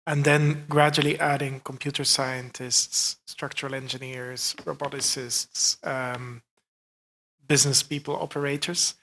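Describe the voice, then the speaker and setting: A man's voice: medium pitch, flat delivery, measured pace, creaky, pushed and bright, low-quality recording. A man on a conference panel, speaking thoughtfully and building up his points as he goes. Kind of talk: panel discussion.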